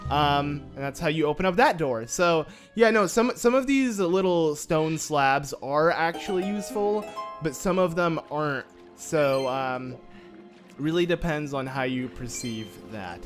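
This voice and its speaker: A young man with a slightly raspy voice giving casual, joking commentary over a video game he is playing, full of fillers and self-mocking asides. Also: fairly high pitch, expressive, unhurried. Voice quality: slightly raspy from just waking. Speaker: gameplay commentator. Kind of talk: casual let's-play commentary. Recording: game music faint underneath. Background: game music.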